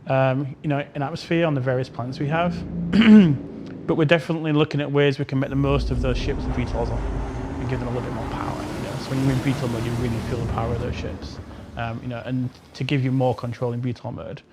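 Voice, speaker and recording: A man's voice: steady tone, quick pace. A man speaking casually as a game developer guest on a panel, informal and rephrasing as he goes. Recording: very loud engine rumble over voice.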